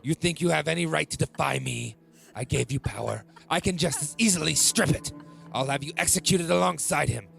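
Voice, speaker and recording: An actor playing a scornful, domineering middle-aged king in a fantasy audio drama, gravelly, cold and imperious. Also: a little high, fairly quick, fairly bright tone, soft background music. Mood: contemptuous anger.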